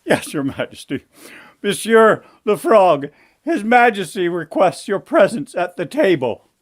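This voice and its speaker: A man's voice: high-pitched, big pitch swings, measured pace, voice pushed up, full character voice. A man doing a theatrical, fawning royal servant. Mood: flustered. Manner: deferential, stammering, theatrical. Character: obsequious, flustered male royal servant.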